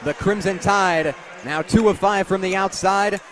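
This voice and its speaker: High-voiced young man calling basketball over crowd. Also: a little slow. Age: young adult. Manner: animated, vivid, raises voice to shouts on big plays. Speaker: radio play-by-play announcer. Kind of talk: live basketball play-by-play commentary. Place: courtside in a noisy basketball arena. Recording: loud arena crowd and bouncing ball. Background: crowd noise, basketball bounces.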